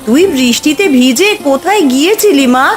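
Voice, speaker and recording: Woman acting a high-voiced, concerned village mother. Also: lively intonation, a little fast, faint music behind. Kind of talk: Bengali cartoon story dubbing.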